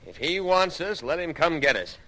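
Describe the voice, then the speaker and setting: An actor playing a cocky, resentful small-town tough in an old-time radio crime drama, curt and challenging. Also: male voice, a little high, lively intonation, normal speed, sharp tone. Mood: belligerent, cocky. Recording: vintage radio show recording.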